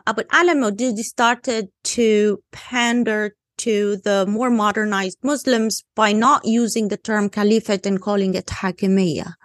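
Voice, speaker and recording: A woman's voice: medium pitch, no echo, clean studio sound. A woman with an Egyptian Arabic accent, a native Arabic speaker, speaking as an analyst on a discussion panel in an emphatic, insistent way. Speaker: guest analyst.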